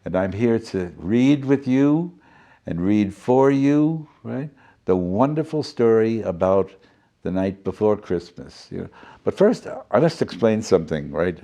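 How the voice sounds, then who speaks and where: Guy doing a jolly fussy professor reading to kids, slow and mellow, voice swooping all over. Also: on the low side, expressive. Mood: chatty, delighted. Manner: theatrical, fussy, chatty, tag questions, jumbled words. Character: doddering, jolly, theatrical male professor storyteller reading to children. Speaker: storyteller. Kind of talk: children's storybook reading with playful asides.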